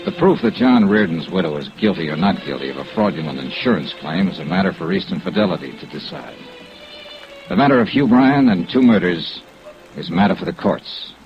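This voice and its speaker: A man's voice: low-pitched, very animated, a little fast, music in the background. An actor playing a shrewd, hard-boiled male insurance investigator, clipped and dry in a 1950s radio detective style. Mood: matter-of-fact. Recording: dull, very noisy old radio recording.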